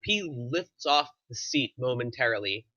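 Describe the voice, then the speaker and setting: A man reads stage directions as narrator of a script table read. His voice is medium-pitched with a bright, crisp edge. The recording is dry and a little dull. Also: lively intonation, moderate pace, no room sound.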